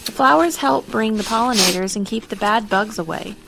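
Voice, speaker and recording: An actress playing a dutiful, obliging homemaker in an audio drama, with a creaky voice and accommodating manner. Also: female voice, a little high, a little fast, background noise. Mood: pleasant, helpful. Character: dutiful, obliging homemaker wife who gardens and cooks.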